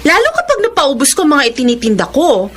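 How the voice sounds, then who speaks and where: Very high-pitched feisty young Filipina. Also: female voice, wildly swooping pitch, brisk, a bit bright, a little hiss or hum, exaggerated voice. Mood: cheerfully defiant. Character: feisty, hard-working young woman, online seller and strict older sister. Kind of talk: Filipino radio drama acting.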